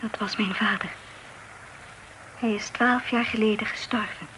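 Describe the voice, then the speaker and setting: A woman's voice: mid-range voice, steady tone, unhurried, bright, forceful tone, a little hiss or hum, poor audio. An actress playing a reserved young lady of a country estate in a Dutch radio play, composed and formal in manner. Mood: quiet, polite.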